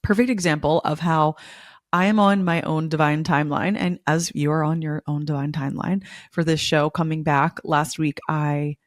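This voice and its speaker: A young woman hosting a spiritual podcast, a little hoarse from losing her voice, talking in an effusive, repetitive way. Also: low-pitched, steady tone, moderate pace, dry recording, studio quality. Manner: effusive, repeats words for emphasis. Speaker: podcast host. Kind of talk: casual spiritual podcast monologue.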